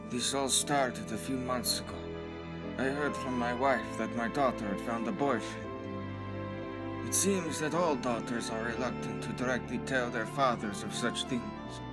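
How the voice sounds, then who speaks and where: Man acting a slow-spoken, grieving father. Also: medium pitch, over loud music, natural voice. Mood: polite, somber. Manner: polite, formal, halting, sorrowful. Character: grieving, humble middle-aged flower shop owner, a father. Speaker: voice actor in a fan dub. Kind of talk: anime dub acting, dramatic monologue.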